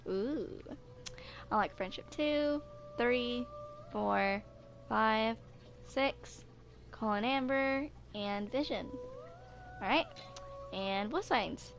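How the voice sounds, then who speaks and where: A woman's voice: on the high side, very slow, creaky, pauses often. A woman in her twenties casually reading video game character profiles aloud for her viewers. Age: 20s.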